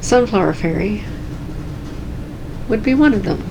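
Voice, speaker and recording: A woman chatting casually and calmly in her cross-stitch vlog at home, soft-spoken and unhurried. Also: mid-range voice, creaky, lo-fi sound, noisy background. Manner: calm, slow and soft-spoken. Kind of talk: casual chat.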